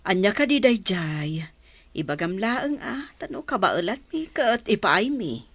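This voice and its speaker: A kind, accommodating neighbour in a radio drama. Her mid-pitched voice swings expressively as she speaks, hospitable and forgiving. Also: sing-song, a little slow, a bit bright, breathy edge, exaggerated voice. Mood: welcoming. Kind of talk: Ilocano radio drama acting.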